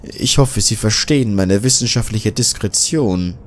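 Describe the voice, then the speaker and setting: A man voicing an ageing, slight male scientist who talks in a courteous, flattering way. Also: fairly low pitch, unhurried, slight background noise, lightly acted. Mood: smooth, flattering.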